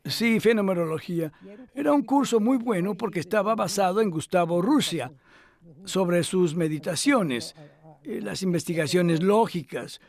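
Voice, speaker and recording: An older man with a notably high voice answers interview questions at a measured, thoughtful pace. He pauses often with hesitant fillers as he recalls things. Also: expressive, darkish tone. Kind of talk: reflective interview talk.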